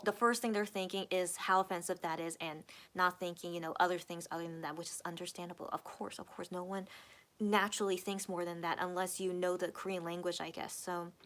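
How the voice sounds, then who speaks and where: A woman's voice: lowish voice, fairly bright tone. A young adult woman hosting a casual live stream, reading out chat comments and answering them in a rambling way, with lots of fillers and repeated words. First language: English. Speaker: livestream host reading chat.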